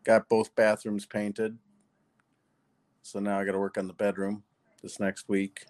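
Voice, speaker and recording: A man's voice: fairly low pitch, completely flat delivery, a little slow, darkish tone. A man with a nasal voice, chatting easily as a podcast guest. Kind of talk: casual chat.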